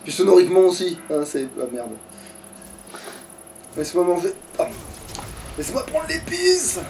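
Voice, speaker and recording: A man's voice: high-pitched, huge pitch swings, moderate pace, darkish tone. A man chatting casually as he plays a game, grumbling and swearing freely. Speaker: let's player. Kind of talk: casual gameplay chat with a co-player. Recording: distant mic with background noise.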